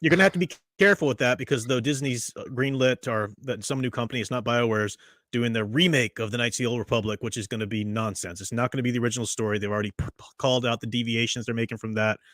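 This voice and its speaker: Guy rambling excitedly about star stories, dry close mic, middle pitch. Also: quick pace, dry recording. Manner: enthusiastic, talkative, restarts often. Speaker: podcast host. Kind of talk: casual review chat.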